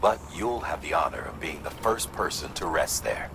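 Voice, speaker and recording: Deep, solemn commander man. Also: expressive, normal speed, creaky, heavy background noise, heavily acted. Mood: solemn, encouraging. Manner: earnest, speech-like encouragement. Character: solemn, encouraging male mission commander. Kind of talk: video game briefing dialogue.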